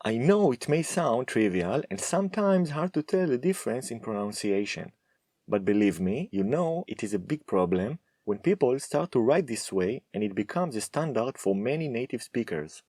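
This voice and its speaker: A man teaching a Hebrew lesson, speaking slowly and deliberately with firm emphasis. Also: mid-range voice, lively intonation, darkish tone, no echo, studio quality. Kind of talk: scripted lesson to camera.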